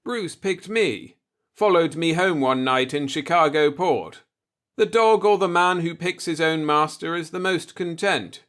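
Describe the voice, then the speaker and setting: A man's voice: on the high side, measured pace, a bit bright, voice pushed up. A man voicing an easygoing, self-assured veteran first officer of a spaceship in a sci-fi audiobook, speaking casually and expansively.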